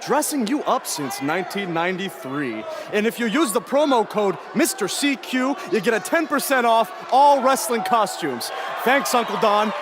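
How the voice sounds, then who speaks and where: Goofy, very high-voiced wrestler sidekick. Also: male voice. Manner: earnest, hammy. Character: goofy, eager, oblivious young wrestler sidekick. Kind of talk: video game cutscene comedy acting. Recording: noisy arena with crowd behind.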